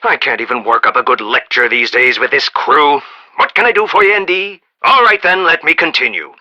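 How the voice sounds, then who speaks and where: Bright, blustery folksy American tycoon inventor. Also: male voice, mid-range voice, lively intonation, brisk. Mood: breezy, opinionated. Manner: folksy, rambling, emphatic. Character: blustery, folksy, self-made Midwestern inventor tycoon, proud and eccentric. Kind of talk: animated video game voice acting.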